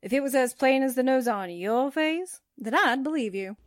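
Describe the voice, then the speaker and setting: A high, animated female voice from an actress plays a wisecracking secretary in a forties radio serial. She is pert and flippant, tossing out sassy retorts. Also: moderate pace, no room sound, studio quality. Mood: pert, teasing. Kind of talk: 1940s radio drama acting.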